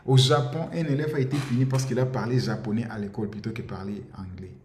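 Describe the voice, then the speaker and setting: A man from the DR Congo speaks in an impassioned, insistent monologue. He circles back to the same phrases and stumbles as he pushes his point. His voice sits at an ordinary middle pitch, carrying a roomy echo over a faint background ambience. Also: steady tone, quick pace, darkish tone, a little hiss or hum. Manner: passionate, insistent, repeats himself, stumbles over words. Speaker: commentator.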